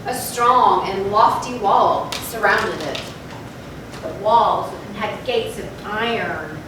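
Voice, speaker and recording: A woman's voice: medium pitch, slow. Woman reading gothic fiction, very echoey. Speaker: stage reader. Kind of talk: dramatic gothic story reading. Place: indoor theatre, far from the mic. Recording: echoey, noisy theatre from far off.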